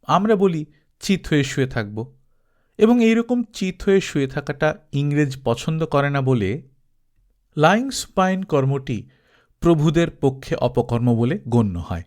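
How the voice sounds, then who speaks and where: Man narrating with lively, swooping intonation. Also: a little high, a little slow. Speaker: audiobook narrator. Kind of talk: expressive literary storytelling, reading a humorous travelogue.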